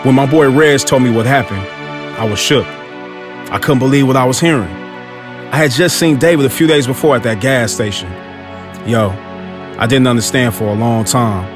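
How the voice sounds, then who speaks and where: A man narrating a scripted motivational story in an earnest way, with hip-hop street slang. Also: mid-range voice.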